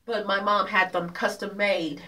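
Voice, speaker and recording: A woman's voice: medium pitch, steady tone, pushed and bright, distant mic, rough recording. Nasal woman rambling a bit off-mic. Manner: halting, repeats words and restarts often. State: congested, nasal from allergies. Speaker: vlogger. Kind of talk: rambling casual vlog. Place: apartment room.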